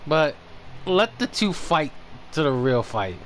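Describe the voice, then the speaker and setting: A man commentating casually on a live game stream, blunt and quick to swear. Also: a little high, very animated, a bit bright, a little hiss or hum.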